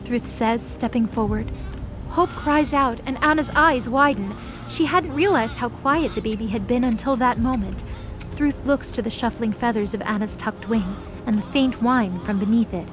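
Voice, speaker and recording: A woman narrates a dramatic story from a script. Her voice sits somewhat high, and music is clearly heard behind her. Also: moderate pace, rough recording.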